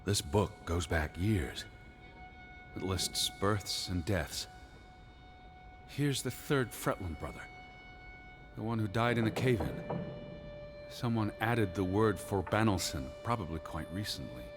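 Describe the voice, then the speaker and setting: Deep-voiced, anxious, bookish 1920s gentleman. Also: lively intonation, slow, long pauses, faint music behind. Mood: grave, brooding. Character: anxious, bookish 1920s gentleman searching for his missing sister. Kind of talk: video game drama acting.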